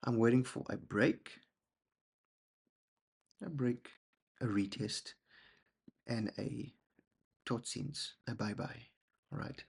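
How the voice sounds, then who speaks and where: A young man, a husky voice and a rambling, self-deprecating manner, walking through trades and often checking in with "okay?". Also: medium pitch, slow pace, pauses often. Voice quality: gravelly, husky. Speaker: trading channel host. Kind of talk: casual screen-share trading walkthrough.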